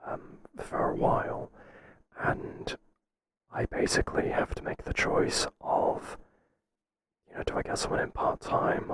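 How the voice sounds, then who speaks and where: Casting: male actor as a soft, breathy, whispering boss for ASMR roleplay, tentative and flattering, with noticeable background noise. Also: lightly acted. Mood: hesitant, confiding. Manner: halting, tentative, confiding. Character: hesitant, flattering male boss confiding in an employee.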